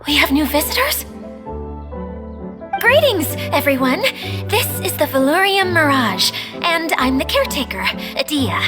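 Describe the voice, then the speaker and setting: A woman playing a gentle, courteous young caretaker in a video game, speaking formally with a touch of hesitancy. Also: sits high, big pitch swings, a little slow, over music. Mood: welcoming. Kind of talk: video game cutscene dialogue.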